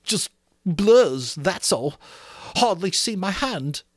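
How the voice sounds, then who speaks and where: Man acting a high, whiny, indignant schoolboy. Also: lively intonation, slow, no echo, studio quality, full character voice. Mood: glum muttering. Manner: howling, muttering protests. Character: Piggy, whiny, indignant bespectacled schoolboy, frantic and defensive.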